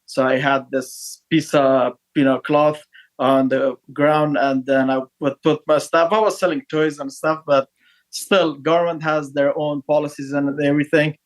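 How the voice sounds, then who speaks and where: A man's voice: fairly high pitch, steady tone, a little slow, rough recording. A man in his thirties from Afghanistan, a podcast guest speaking in a hesitant way with many fillers and restarts. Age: 30s. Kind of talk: casual interview talk.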